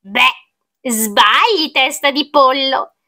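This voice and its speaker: A woman voicing a boastful, gloating storybook witch with a theatrical, drawn-out, taunting delivery. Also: sits high, pushed and bright, voice pushed up, exaggerated voice. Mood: taunting, menacing. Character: gloating, boastful female witch cooking disgusting soups.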